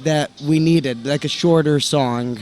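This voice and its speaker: A man's voice: fairly high pitch, slow pace, a little mellow. A young American man, bilingual in English and Italian, answering interview questions in a hesitant, restarting way. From United States. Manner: hesitant, many restarts and fillers. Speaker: band member, interview guest. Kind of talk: casual interview talk.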